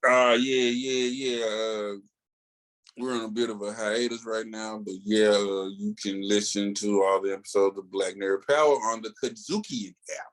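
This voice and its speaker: Deep-voiced, laid-back man on a podcast. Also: fairly even intonation, normal speed, rough recording. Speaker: podcast co-host and reviewer. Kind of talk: casual chat.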